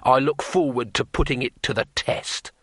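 A man's voice: medium pitch, moderate pace, no echo, studio quality, natural voice. A man voicing a cold, hateful thief and swordsman in a storybook reading, with a sneering, hissing menace.

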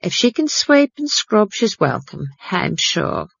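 A woman's voice: medium pitch, big pitch swings, measured pace, creaky, fairly bright tone, husky, no room sound, muffled recording, voice pushed up, heavily acted. A woman voicing a fussy, gossipy middle-aged housekeeper who talks in an eager, chatty way.

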